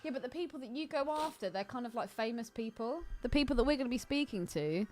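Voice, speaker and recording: A woman's voice: fairly high pitch, expressive, quick pace, darkish tone, slight background noise. A woman co-hosting a podcast in casual, blunt, jokey banter. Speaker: podcast co-host.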